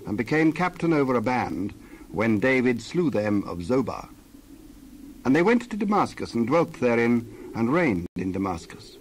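A man narrating scripture as a scripted dramatized reading, measured and solemn, with careful phrasing. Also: medium pitch, slight background noise, rough recording.

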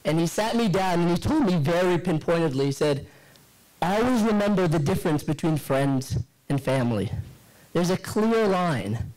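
Hoarse young man, slightly high voice, rambling wedding toast, handheld mic, banquet hall. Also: a little mellow. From Yemeni descent. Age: 20s. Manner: rambling, self-deprecating storytelling with many fillers. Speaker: friend giving a wedding speech. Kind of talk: humorous wedding speech. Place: large banquet hall, indoors. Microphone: handheld microphone.

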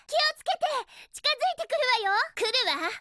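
A woman voicing a cheerful, feisty young female hedgehog in a Japanese video game, with a perky, girlish and expressive delivery. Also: very high voice, normal speed, dry recording, clean studio sound, heavily acted. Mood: alert, urging.

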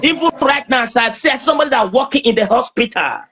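A young man speaking as a prophet praying over someone on a video call, insistent, halting and repeating himself. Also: very high-pitched, expressive, pushed and bright, a faint crowd. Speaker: preacher, self-styled prophet. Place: small indoor room. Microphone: phone at arm's length on video call.

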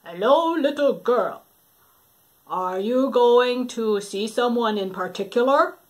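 A woman's voice: on the high side, expressive, slow. A woman voicing a sly big bad wolf who sweet-talks a little girl in a storybook reading. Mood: coaxing. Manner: falsely friendly, coaxing.

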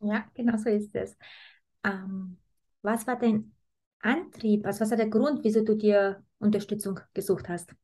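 Young woman, host, upbeat, steady questions, home office, camera mic. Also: medium pitch, normal speed. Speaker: host, mentor. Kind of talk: hosting an interview.

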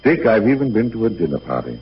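An actor playing a gentle, shaken, grieving middle-aged father in a 1930s radio drama, halting and stammering apologetically. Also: on the low side, very animated. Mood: grieving, earnest. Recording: muffled, noisy 1938 radio broadcast.

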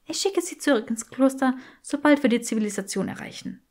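A woman's voice: high-pitched, expressive, a little fast, a little mellow, voice pushed up. A woman voicing a clever, earnest young witch with teasing wit in an audiobook, matter-of-fact in manner.

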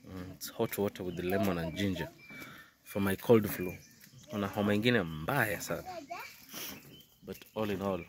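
A man's voice: sits low, normal speed, long pauses, crowd noise. A man in his thirties with a Kenyan accent, talking casually to his phone camera while he has a cold, mixing Swahili and English. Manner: mixes Swahili and English, chatty. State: congested with a cold. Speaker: vlogger. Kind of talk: casual vlog talk to camera. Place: outdoors in a rural yard and indoors kitchen. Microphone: phone at arm's length.

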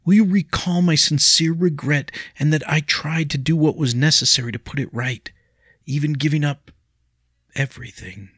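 A brooding young nobleman in a storybook tale, heated and bitter with remorse , as a man acts him out. The voice is very deep and gravelly with a husky edge, close and dry. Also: lively intonation, moderate pace, a bit bright, no echo, heavily acted. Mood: pleading.

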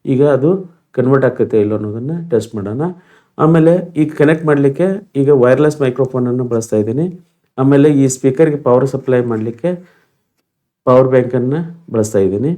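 Mellow-voiced man. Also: mid-range voice, brisk, long pauses. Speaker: tutorial presenter. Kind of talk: informal step-by-step demonstration.

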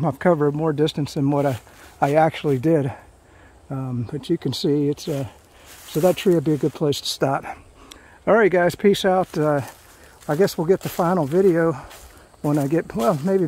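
A man's voice: fairly high pitch, normal speed, mellow, husky. A middle-aged man talks casually while hiking a forest trail, rambling with many fillers and restarts. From Florida. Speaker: hiking vlogger.

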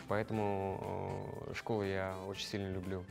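A man's voice: low-pitched, moderate pace, creaky, over music. A young man from Kazakhstan in a studio interview, chatty and joking, wearing a lapel mic. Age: young adult. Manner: jokey, animated, laughs at himself. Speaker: show participant. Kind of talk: casual interview. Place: studio with grey backdrop.

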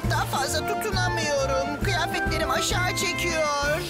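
A woman voicing an excitable, easily frightened young boy in a children's cartoon, with dramatic gasps and wails. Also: very high voice, normal speed, over loud music. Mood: panicked. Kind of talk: animated children's cartoon dub.

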